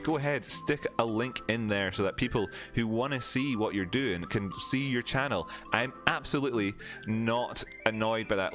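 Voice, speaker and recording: A man's voice: mid-range voice, a bit bright. A Scottish man hosting a trading livestream, chatting casually with viewers and frankly repeating words for emphasis. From Scotland. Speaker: crypto trading livestream host. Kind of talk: casual livestream chat reading viewer comments. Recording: faint music under the voice. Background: faint background music.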